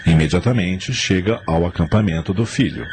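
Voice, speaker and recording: Deep-voiced male radio drama narrator. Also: moderate pace, heavy background noise. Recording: radio drama with music underneath.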